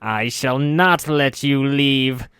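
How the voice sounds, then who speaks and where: A man's voice: medium pitch, takes it slowly, a bit bright, clean tone, no echo, clean studio sound, voice pushed up. A man voicing an ancient, possessive female witch who croons and cackles with imperious menace.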